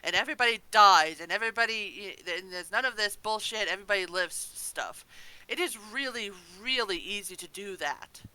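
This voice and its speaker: A woman's voice: medium pitch, a little fast, sharp tone, clear, resonant tone, dry recording, rough recording. A woman co-hosting a podcast in casual discussion, emphatic and opinionated, prone to ranting and swearing. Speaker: podcast co-host.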